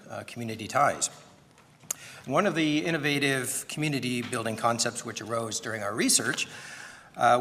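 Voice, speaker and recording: A soft-spoken man giving a prepared introduction at a podium microphone, now and then clearing his throat. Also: medium pitch, long reverb tail, a little hiss or hum. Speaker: event speaker introducing a presenter. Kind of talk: prepared speech. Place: large conference hall.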